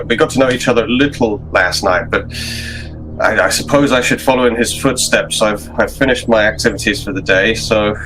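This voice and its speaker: Casting: man acting older gentleman investigator, worldly and condescending, courteous halting delivery, faint music behind. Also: medium pitch, natural voice, low-quality recording.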